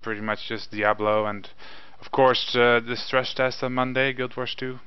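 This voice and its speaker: A man's voice: mid-range voice, little pitch movement, normal speed, clear, resonant tone. A young man whose first language is Norwegian, co-hosting a casual gaming chat over a headset mic from his home, rambling with restarts and fillers. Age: young adult. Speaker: co-host. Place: home room with window. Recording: dull lo-fi webcam call audio.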